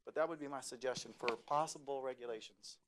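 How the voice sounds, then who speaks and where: A man who is a landlord giving a halting, practical public comment at a community meeting. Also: mid-range voice, a bit bright, slight background noise. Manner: halting, practical, restarts sentences.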